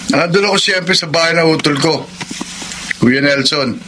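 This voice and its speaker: Philandering Filipino husband for radio drama, played by a man: high-pitched, blustering, defensive, over a noisy track. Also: normal speed, natural voice. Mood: casual, evasive. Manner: dismissive, blustering, evasive.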